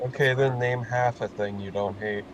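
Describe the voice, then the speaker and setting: A man with a mid-pitched voice trades dry wisecracks in a casual chat. A faint hum of noise sits behind him. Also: fairly even intonation, normal speed, low-quality recording.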